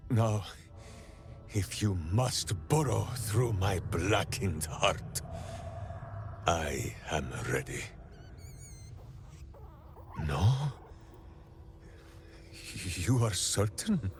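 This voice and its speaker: A big-hearted, simple-minded warrior hero for a video game. He speaks slowly in a gravelly voice that swings widely in pitch, with earnest, grandiose declarations. Music plays behind him. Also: full character voice. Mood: grave, contrite, then relieved, tender. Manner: grandiose, earnest third-person speech. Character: hearty, devoted, simple-minded heroic warrior man with beloved pet hamster. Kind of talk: theatrical video game voice acting.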